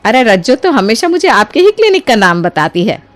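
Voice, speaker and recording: Woman playing an animated, trusting mother-in-law, music behind. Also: a little high, fairly quick. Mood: bewildered, questioning. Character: trusting, soft-hearted older mother-in-law, easily fooled. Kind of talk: animated Hindi moral story dialogue.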